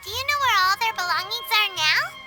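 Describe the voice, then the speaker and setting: A woman's voice: very high-pitched, animated, fairly quick, over loud music. A woman voicing a small, perky, curious childlike companion in a video game cutscene, eager and exclamatory.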